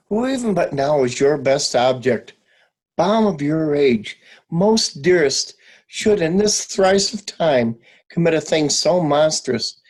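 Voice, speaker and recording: A man playing a courteous, puzzled king in measured, formal Shakespearean verse. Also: fairly high pitch. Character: King of France, courteous, puzzled young monarch. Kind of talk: Shakespeare reader's theatre acting.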